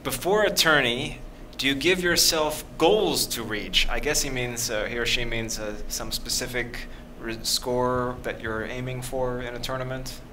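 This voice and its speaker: A man's voice: medium pitch, steady tone, noisy background. A man in his forties interviewing a guest, asking conversational questions and often restarting words mid-sentence. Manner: quick, repeats words while forming questions. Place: indoor press area before a sponsor backdrop. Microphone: desk microphone.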